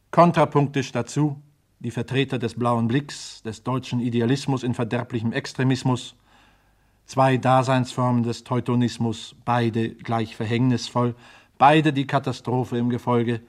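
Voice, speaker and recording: A male announcer reads scripted narration for radio. His mid-range voice stays very even, with measured, formal phrasing throughout. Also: mid-range voice.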